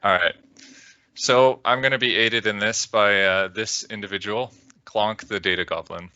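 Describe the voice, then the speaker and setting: A man from Canada with a Canadian accent, giving a measured technical presentation on a video call with occasional "uh"s. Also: lowish voice, fairly even intonation, bright, forceful tone, smooth, dry recording, highs cut off. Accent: Canadian English. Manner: measured, deliberate, with filler "uh"s. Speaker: conference speaker.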